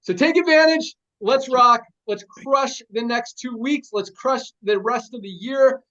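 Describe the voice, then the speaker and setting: A man's voice: very high voice, measured pace, sharp tone. A man hosting a team video call, rousing everyone with short rallying lines. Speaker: host of a sales team call. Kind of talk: energetic motivational talk. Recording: dull lo-fi call audio.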